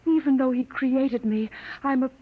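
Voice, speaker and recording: An actress playing a gentle artificial woman discovering feeling in a 1950s radio drama, soft-spoken and wistful. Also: high voice, fairly even intonation, moderate pace. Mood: longing, wonder. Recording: muffled vintage 1950s radio recording.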